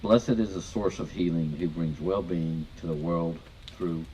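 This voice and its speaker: A man reads a wedding blessing in a plain, steady way. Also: low-pitched, unhurried, slight background noise, poor audio. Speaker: guest reading a blessing.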